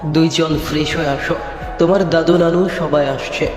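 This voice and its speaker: A man's voice: a little high, fairly even intonation, normal speed, lightly acted. A man playing a genial, welcoming middle-aged father-in-law in an audio story drama. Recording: echoey reverberant hall, music underneath.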